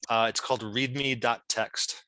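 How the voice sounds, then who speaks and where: A man answering questions at an online meeting, speaking earnestly in a halting, hesitant way. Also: medium pitch, fairly even intonation, pushed and bright, no room sound, rough recording. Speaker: legal team member as guest speaker. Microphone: video call.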